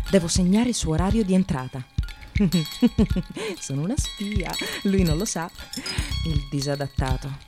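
An actress playing a resentful, frazzled receptionist in a theatrical radio monologue, volatile between clipped politeness and bitterness. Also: female voice, on the low side, lightly acted. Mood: bitter, contemptuous. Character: frazzled, resentful female receptionist in precarious work, near breakdown.

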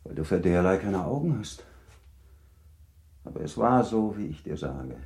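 An actor playing a much older baron in a radio play, a teasing, possessive husband with a measured, formal, gallant manner. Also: male voice, low voice, big pitch swings, darkish tone, echoey room. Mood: insinuating. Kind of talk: German radio play acting.

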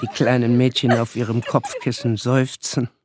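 A man's voice: medium pitch, flat delivery, moderate pace, noisy background, close to an everyday voice. A man narrating a German radio play in a storytelling style.

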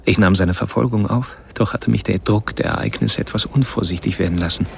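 A man's voice: fairly quick, heavily acted. An actor playing a cold, articulate serial killer who narrates his stalking in a radio play, introspective and precise. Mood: wry, detached. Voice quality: low, gravelly voice. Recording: music under the voice.

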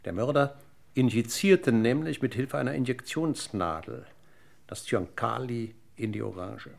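A man acting a police inspector in a German radio crime drama, questioning in a deliberate, courteous and insistent way. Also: mid-range voice, gravelly. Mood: explaining, assured. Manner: deliberate, courteous interrogator's delivery. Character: male police inspector, probing, methodical, politely insistent.

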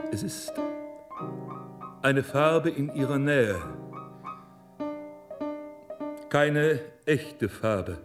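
An actor playing a suave, commanding stage hypnotist and mind reader in a radio drama, speaking formally and deliberately. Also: male voice, mid-range voice. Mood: slow concentration. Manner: deliberate, formal, polite but imperious. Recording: piano music behind the voice.

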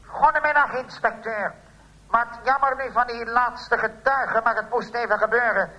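A man playing a smug blackmailing villain, polite and calmly menacing, in a comic radio play. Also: very high voice, normal speed, voice pushed up, rough recording.

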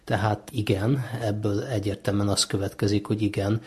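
A man explaining things as an expert interviewee in a measured, explanatory manner. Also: on the low side, steady tone, slightly rough.

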